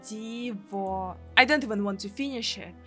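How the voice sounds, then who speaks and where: A woman's voice: medium pitch, moderate pace, bright, forceful tone, soft background music. A woman from Russia with a Russian accent, a gaming streamer expressively reading a translated visual novel aloud. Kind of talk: let's play, reading a live translation aloud.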